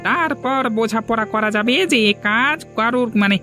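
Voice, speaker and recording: A performer voicing a cautious, even-handed young villager in a cartoon, weighing up gossip. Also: medium pitch, moderate pace, soft background music, lightly acted. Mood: firm. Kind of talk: cartoon voice acting.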